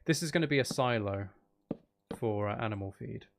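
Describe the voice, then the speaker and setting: Creaky-voiced man thinking aloud while gaming. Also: mid-range voice, animated, moderate pace. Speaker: gaming streamer. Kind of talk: casual live gameplay commentary. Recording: faint background noise and clicking.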